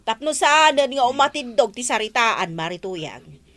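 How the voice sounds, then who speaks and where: An actress with an Ilocano Filipino accent playing a gossipy, dry shopkeeper with a drawn-out, knowing delivery in a radio comedy drama. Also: female voice, fairly high pitch, big pitch swings, a bit bright. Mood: sardonic.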